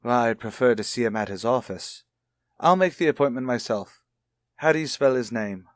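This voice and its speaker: A man's voice: medium pitch, lively intonation, normal speed, no echo, natural voice. An actor playing a stern, stubborn husband and father in a radio soap, speaking formally and with sarcasm. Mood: smug, guarded. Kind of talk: radio soap opera acting.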